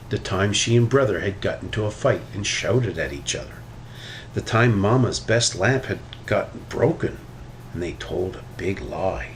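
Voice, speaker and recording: Animated low-voiced man reading a storybook. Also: rough recording. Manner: expressive, animated read-aloud delivery. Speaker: storybook reader. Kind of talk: reading a children's picture book aloud. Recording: noticeable background noise.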